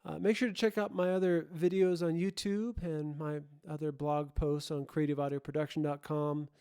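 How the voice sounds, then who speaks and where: A man's voice: a little high, level tone, moderate pace, warm tone, clean tone, no echo, studio quality. A man presenting an informal tutorial, thinking aloud and often correcting himself as he explains. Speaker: host, audio production tutorial presenter.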